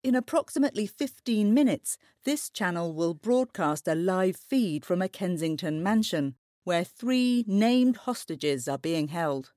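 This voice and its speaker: Composed news anchor, close and dry. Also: female voice, on the low side, animated, darkish tone, no room sound. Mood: grave, composed. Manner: measured, grave. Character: composed female television news anchor on a controversial broadcast. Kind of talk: formal broadcast news presenting.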